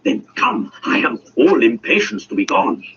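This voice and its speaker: A man's voice: medium pitch, sing-song, moderate pace, fairly bright tone, pitched above the performer's own voice. A man voicing a grand, impatient, lovelorn king in a ponderous, dramatic storybook reading. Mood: imperious, wistful. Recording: dull audio with faint hiss.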